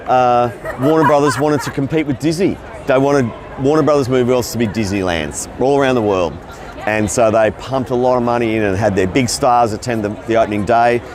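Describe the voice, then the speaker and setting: A middle-aged Australian man, a voice actor telling his career story in a loose, rambling way at a convention. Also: medium pitch. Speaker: voice actor interviewee. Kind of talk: casual interview answers. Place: convention floor.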